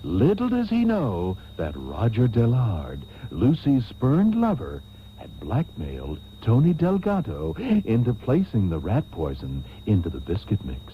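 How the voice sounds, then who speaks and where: An actor playing a grandiose, unctuous radio soap host, narrating in a theatrical, drawn-out, mock-melodramatic way. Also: male voice, on the low side, huge pitch swings, a little hiss or hum. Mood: mock-solemn. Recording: tinny old radio tape, music behind.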